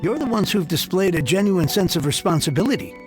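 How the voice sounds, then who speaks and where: A man's voice: high voice, expressive, quick pace, over music. A man playing an effusive, formal heir of a fallen merchant family in a video game, speaking earnestly and wordily. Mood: surprised, disdainful.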